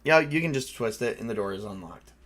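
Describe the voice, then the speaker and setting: A man's voice: mid-range voice, animated, fairly quick, fairly bright tone. Man running a tabletop roleplaying game. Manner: casual, conversational, off-the-cuff. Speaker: game master.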